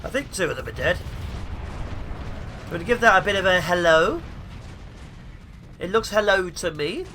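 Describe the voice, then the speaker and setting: A young man with the flu, sounding sniffly, giving excitable let's play commentary. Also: sits high, moderate pace, long pauses, slight background noise. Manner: excitable, mutters at enemy ships. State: sniffly with flu, sore throat. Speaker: gaming YouTuber.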